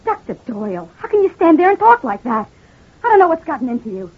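An actress playing a scheming, murderous wife in an old radio melodrama, defensive and protesting. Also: female voice, sits high, sing-song, fast. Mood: indignant. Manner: protesting, flustered. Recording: dull, muffled old radio recording.

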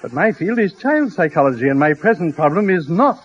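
A man's voice: fairly high pitch, big pitch swings, fairly quick. A man playing a courteous, earnest, self-deprecating psychology professor in 1950s radio comedy style. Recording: dull, faintly noisy old radio recording.

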